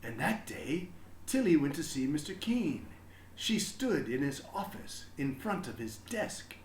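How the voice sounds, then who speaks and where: A man narrates a children's picture book in an expressive, deliberate style. His pace is slow and his intonation moves widely, and the room adds a clear echo. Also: a little high, slight background noise. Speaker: storybook reader.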